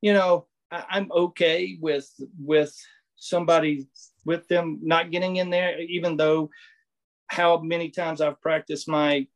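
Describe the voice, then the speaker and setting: A man's voice: on the high side, steady tone, measured pace. A middle-aged man from Southwest Virginia speaks in a slightly raised voice. He talks loosely in an interview, circling back, restarting sentences and leaning on fillers as he reminisces. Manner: rambling, many restarts and fillers. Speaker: guest, former baseball pitcher. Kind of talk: casual interview storytelling.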